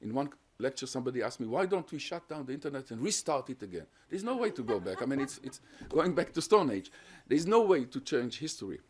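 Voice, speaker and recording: A man gives an academic talk in an even middle voice. He sets out his points one by one in a measured, explanatory way. He also takes questions from the audience. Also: mid-range voice. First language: Hungarian. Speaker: guest lecturer on terrorism research.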